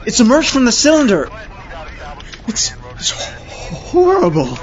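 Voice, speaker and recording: An actor playing a melodramatic, flustered radio news reporter in a parody of old-time radio drama, with florid announcing. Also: male voice, extremely high pitch, wildly swooping pitch, slow, heavily acted. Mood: horrified, melodramatic. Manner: breathless, florid, alarmed announcing. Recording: slightly muffled recording.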